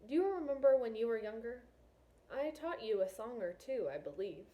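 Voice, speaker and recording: A woman reading the part of a reserved middle-aged king and father in a storybook, measured and reflective. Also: fairly high pitch, animated, dark tone, pitched above the performer's own voice, close to an everyday voice, poor audio. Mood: wistful.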